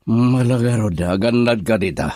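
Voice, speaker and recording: Isang lalaking gumaganap bilang nag-aalalang ama, na may punto ng Ilocano, sa isang radio drama. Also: male voice, mid-range voice, animated, normal speed, dark tone, natural voice. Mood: worried. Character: concerned father.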